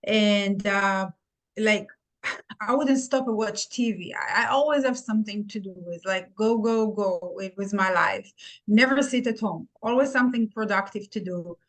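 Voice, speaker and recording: A woman's voice: medium pitch, moderate pace, rough recording. A middle-aged woman from Israel with an Israeli accent, chatting candidly and casually as an interview guest. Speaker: podcast guest, nurse practitioner.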